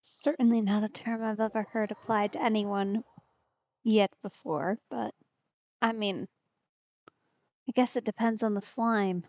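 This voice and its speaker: A woman's voice: medium pitch, steady tone, measured pace, pauses often, a little mellow, dry recording. A woman doing casual let's play commentary with wry, understated asides, on a close mic with slightly dull sound. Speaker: streamer, let's player.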